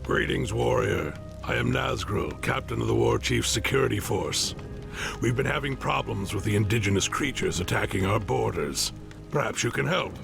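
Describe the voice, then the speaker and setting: Gruff orc soldier: very gravelly, dutiful, formal fantasy game captain, over music. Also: male voice, fairly low pitch, lively intonation, moderate pace. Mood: stern, businesslike. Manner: formal, military. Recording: music behind the voice.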